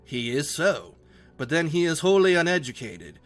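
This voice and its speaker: A man reading a classic novel aloud as an earnest, romantic gentleman explorer, in formal literary phrasing. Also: a little high, sing-song, moderate pace, soft background music, pitched above the performer's own voice. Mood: earnest, reflective. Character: earnest, romantic young gentleman explorer writing letters home.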